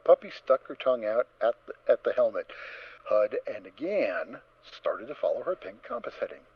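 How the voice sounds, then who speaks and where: A man narrating a scripted story in a theatrical, expressive storytelling manner. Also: mid-range voice, moderate pace, slightly rough, muffled recording.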